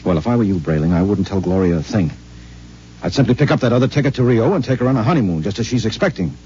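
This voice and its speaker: Man doing a sure-of-himself husband, low-key warm tone, old muffled radio. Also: animated, close to an everyday voice. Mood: steady. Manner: brisk, persuasive, fond of sighs and hums. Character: worldly, self-assured married man. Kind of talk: 1950s radio drama acting. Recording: dull lo-fi 1950s radio broadcast with faint hiss.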